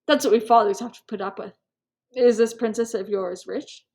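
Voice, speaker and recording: A woman's voice: mid-range voice, steady tone, moderate pace, vocal fry, darkish tone, lightly acted. Woman acting world-weary, cynical aristocratic prince. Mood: complaining.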